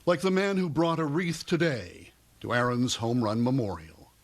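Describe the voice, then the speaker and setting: A man's voice: medium pitch, normal speed. A man narrating a scripted television news report.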